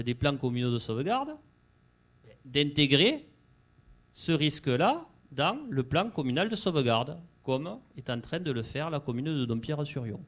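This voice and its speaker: A man giving an unscripted public presentation as an activist, halting and repeating words as he goes. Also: a little high.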